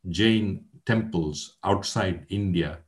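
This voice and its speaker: Deep-voiced older man, measured and earnest. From Kenya. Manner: measured, earnest, with frequent hesitations. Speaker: guest, education academic. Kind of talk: conversational interview.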